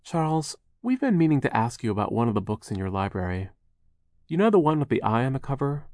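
A man's voice: mid-range voice, big pitch swings, fast talker, a little mellow, dry recording, studio quality, pitched above the performer's own voice, natural voice. A man voicing an earnest, polite orphan girl, an anxious older sister, in an audiobook. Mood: polite, curious.

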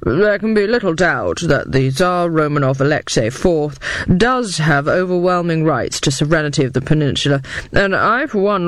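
A man's voice: fairly high pitch, dry recording, natural voice. A man voicing a formal political speaker in an audiobook, delivering a televised speech in a pompous, oratorical manner.

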